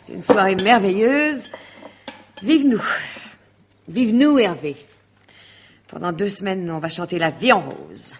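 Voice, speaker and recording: A woman's voice: mid-range voice, lively intonation, measured pace, pauses often, husky. A woman playing a nervy, impulsive lover in a French radio mystery drama, emotional and insistent, repeating her words. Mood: forced gaiety. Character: nervy, impulsive woman lover, forced gaiety turning to fear.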